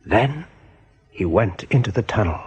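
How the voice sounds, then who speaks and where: A man narrating a radio play in a measured, literary storytelling manner. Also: lowish voice, lively intonation, darkish tone, breathy edge.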